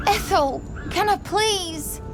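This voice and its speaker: A woman's voice: very high voice, takes it slowly. An actress voicing a fed-up young woman in a video game, whining and beseeching. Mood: pleading.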